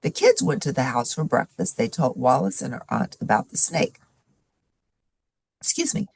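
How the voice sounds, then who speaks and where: A woman reading a children's chapter book aloud in an animated, expressive storytelling manner. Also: rumbling low, a little fast, vocal fry, a little hiss or hum. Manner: animated storytelling with varied character voices. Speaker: story reader.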